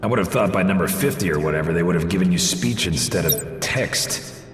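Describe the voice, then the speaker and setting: An actor playing a cocky, wisecracking macho action hero in a video game, with a wry, exasperated manner. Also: male voice, deep voice, brisk, echoey room, big-room sound, background noise, lightly acted. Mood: exasperated, sarcastic. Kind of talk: video game cutscene acting.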